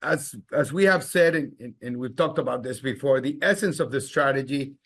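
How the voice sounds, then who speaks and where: Halting male executive explaining results. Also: medium pitch, a bit bright, breathy edge. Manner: halting, repeats words. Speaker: company executive. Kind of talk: earnings call answers, explanatory.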